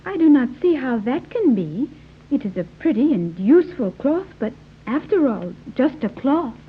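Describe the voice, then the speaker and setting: An earnest young island guide with a notably high voice. She explains things precisely and formally, in vintage radio-serial style. The sound is thin and tinny with a faint layer of noise. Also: big pitch swings, moderate pace. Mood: cautioning. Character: earnest young island woman guiding captive visitors. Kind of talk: 1930s radio serial acting. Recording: tinny, noisy old 1930s radio recording.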